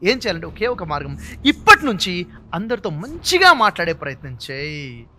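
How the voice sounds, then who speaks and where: A man's voice: high voice, big pitch swings, normal speed, sharp tone, pitched below the performer's own voice, exaggerated voice. A man playing a wise male mentor in a moral story, giving firm, patient, repetitive and instructive counsel. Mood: earnest, advising.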